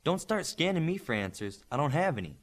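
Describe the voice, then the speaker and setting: A man plays an eager young male superhero in an audiobook. The voice is lifted and lively, telling things in an animated, friendly and slightly wry way. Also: fairly high pitch, brisk, voice pushed up. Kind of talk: audiobook character dialogue.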